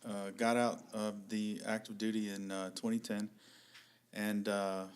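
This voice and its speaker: A man in his thirties, an army veteran, speaking haltingly with many fillers on a podcast. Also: on the low side, flat delivery, smooth. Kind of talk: casual podcast chat.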